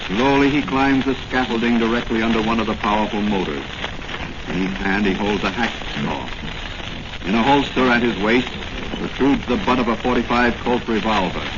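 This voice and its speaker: An actor as the narrator of a 1940s radio serial, declaiming in a solemn, suspenseful style. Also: male voice, fairly low pitch, normal speed, natural voice. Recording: noisy, muffled old radio recording.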